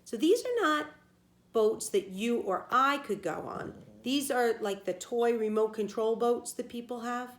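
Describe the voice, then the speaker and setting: A woman teacher reading a children's story aloud, pausing to explain words plainly for young listeners. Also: a little high, animated. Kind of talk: storybook read-aloud with simple asides.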